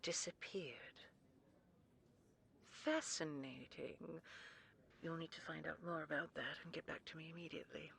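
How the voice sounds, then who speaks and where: A woman acting in a sci-fi audio drama as a cold, condescending female commandant, imperious, sarcastic and measured. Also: deep voice, steady tone, creaky, a bit bright, full character voice. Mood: quietly menacing.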